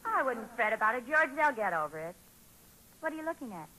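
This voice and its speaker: A woman playing a sensible, gently wry young wife and mother in a 1940s radio sitcom, matter-of-fact in manner. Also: on the high side, very animated, fairly quick. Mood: calm, curious. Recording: very noisy vintage 1940s radio recording.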